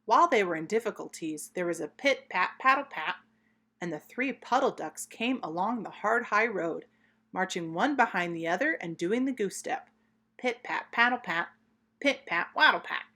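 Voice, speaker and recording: A woman reading a children's story aloud, expressive, deliberate and emphatic. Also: medium pitch.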